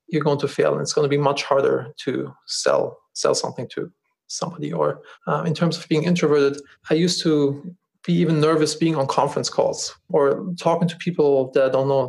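A man with a German accent talks in an earnest, conversational way, circling back over his words as he finds them. His voice sits a touch high for a man and carries a noticeable gravelly fry. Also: steady tone, moderate pace, darkish tone, rough recording. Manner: earnest, repeats words as he restarts. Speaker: podcast guest, business founder. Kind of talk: casual interview talk.

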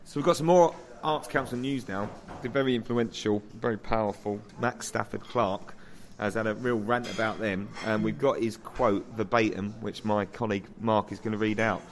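A man's voice: fairly low pitch, steady tone, normal speed, hardly any pauses, a little hiss or hum. A man from England co-hosting a theatre podcast, bantering and telling stories with mock-earnest drama. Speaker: podcast co-host, actor.

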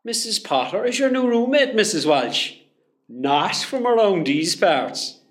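An actor with a West Cork Irish accent playing a cheeky, teasing young hospital worker in a comedy radio play. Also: male voice, high-pitched, sing-song, unhurried. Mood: teasing, reassuring. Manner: teasing, jokey.

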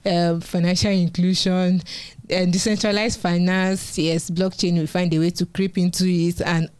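A young woman with a Nigerian accent chats on a podcast, laughing easily as she talks. Her voice is a bit low for a woman and smooth and clear. The sound is dry and close, with no room in it. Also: little pitch movement, normal speed, a little mellow, no room sound. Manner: chatty, laughs often while promoting an event. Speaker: podcast co-host. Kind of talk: casual podcast chat.

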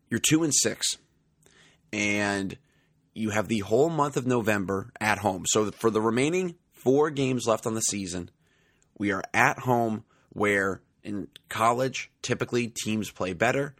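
A young man with a drawl, talking through a sports podcast in a loose, chatty way full of fillers. His voice sits a little low and sounds slightly raspy, recorded close and dry with no room sound. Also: fairly bright tone, no echo. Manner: chatty, conversational, many fillers. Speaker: podcast host. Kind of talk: casual sports podcast monologue.

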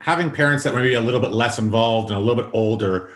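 A man's voice with noticeable room echo, hosting an informal interview. He is from South Africa. He talks in a casual, halting way, often doubling back on his phrases, at an average pitch. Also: a bit bright. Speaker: podcast host. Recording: roomy, echoing sound.

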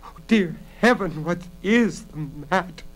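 A man's voice: sits high, unhurried, soft background music, voice pushed up. A man voicing a frightened young servant girl in a dramatic storybook reading, desperate and calling for help. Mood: sobbing, pleading.

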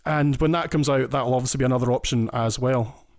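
A man's voice: medium pitch, fairly quick, no echo. Chatty Scottish man, dry close sound. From Scotland. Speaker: guest listener. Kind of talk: casual explaining.

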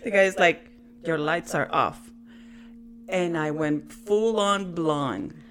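A woman's voice: on the low side, slow, a bit bright. A woman in her thirties whose first language is not English, telling a self-mocking story and laughing often while co-hosting a casual podcast chat. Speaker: podcast co-host.

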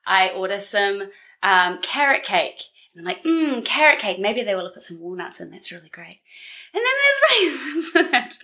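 Creaky, bright New woman. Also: medium pitch, sing-song, normal speed. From New Zealand. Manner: chatty and reflective, with frequent ums. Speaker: podcast guest. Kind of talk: casual interview chat. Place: living room.

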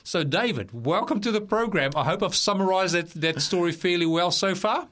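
Man, radio host, chatty, fairly high voice. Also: moderate pace, a bit bright. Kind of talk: radio interview. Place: radio studio.

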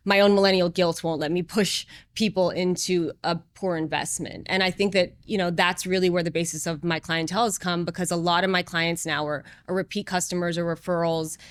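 A woman's voice: fairly low pitch, fairly even intonation, a little fast, few pauses, no room sound. A woman in her thirties, a real estate agent, talking through her career in an earnest, reflective way with plenty of ums and restarts. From moved from Singapore to Las Vegas as a child. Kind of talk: casual interview conversation.

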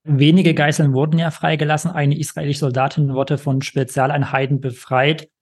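Male podcast reporter, level monotone, measured and formal. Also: fairly high pitch, breathy edge. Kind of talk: interview questions.

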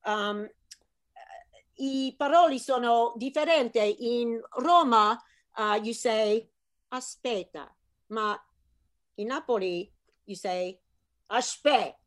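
A middle-aged woman, an author, talking in a lively, chatty way and slipping into bits of Italian. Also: a little high, takes it slowly, long pauses. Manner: animated, chatty, slips into Italian phrases. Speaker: author guest. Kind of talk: casual video chat.

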